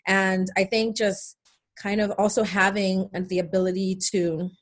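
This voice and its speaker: A woman's voice: mid-range voice, flat delivery, a little slow. A middle-aged woman from Nigeria, born in England, chatting casually and telling stories as an interview guest. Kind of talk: casual conversational storytelling.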